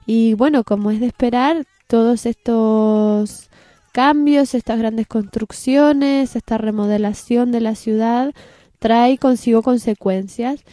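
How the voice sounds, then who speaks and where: Lady hosting a radio show, warm low-toned voice, dry studio sound, easygoing with lots of um and well. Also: steady tone, no echo. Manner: unhurried, with fillers and restarts. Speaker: radio host.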